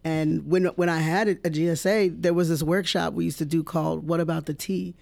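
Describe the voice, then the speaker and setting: A man's voice: fairly high pitch, fairly even intonation, brisk, creaky, darkish tone. A guest in his thirties from New Jersey who talks in a reflective way, with many fillers and restarts. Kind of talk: casual interview.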